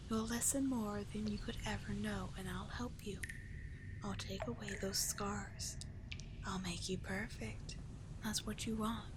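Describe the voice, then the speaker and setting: A woman voicing a seductive, doting, manipulative sea witch in an ASMR roleplay, breathy and coaxing, lingering on her words. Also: mid-range voice, close to an everyday voice. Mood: commanding, hypnotic. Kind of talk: ASMR roleplay, slow hypnotic sleep-aid delivery. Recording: clearly noisy, with ambient sound.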